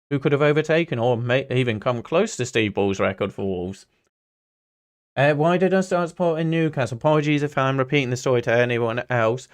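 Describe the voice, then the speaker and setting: A man from Singapore hosting a casual football watch-along, rambling chattily between viewer chat, anecdotes and play-by-play. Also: medium pitch. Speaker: football livestream host, Newcastle fan.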